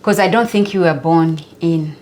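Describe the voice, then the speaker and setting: A woman's voice: fairly low pitch, moderate pace, a little mellow. A woman hosting a casual interview, speaking in an effusive and encouraging way and often murmuring agreement. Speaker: show host.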